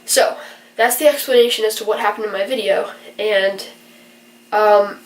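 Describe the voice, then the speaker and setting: A woman's voice: mid-range voice, steady tone, moderate pace, distant mic. Young woman with a stuffed-up nose rambling to camera, sitting back from the mic. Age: young adult. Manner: rambling, earnest, lots of fillers. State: congested, stuffy-nosed from a virus. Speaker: vlogger and college student. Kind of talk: casual explanatory vlog. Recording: roomy echo, from away from the mic.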